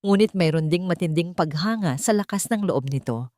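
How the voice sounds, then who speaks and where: Lady storyteller reading a dramatic romance, lowish voice, really dry close sound. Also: lively intonation, moderate pace, dark tone, no room sound.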